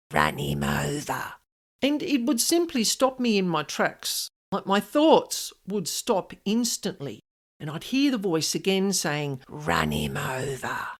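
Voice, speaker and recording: Woman, podcast host, higher-pitched, very lively intonation, emphatic, informal solo storytelling. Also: unhurried. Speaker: podcast host, paranormal specialist. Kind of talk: informal scripted podcast monologue, personal stories and advice.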